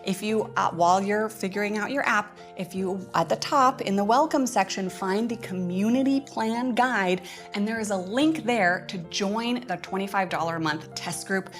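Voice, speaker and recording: A woman's voice: mid-range voice, moderate pace, faint music behind. A woman hosting a casual live-stream update for members, talking in a chatty, informal way. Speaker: host, homeschool curriculum creator.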